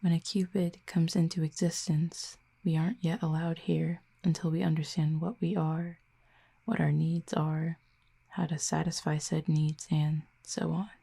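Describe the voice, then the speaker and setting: Woman doing a wistful exiled cupid, slow and hushed, kinda flat, half whispering in an audio rp. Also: low voice, gravelly, husky, exaggerated voice. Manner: soft, hushed and slow, often near a whisper. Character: exiled cupid, gentle, wistful, soft-spoken. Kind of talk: audio roleplay to the listener.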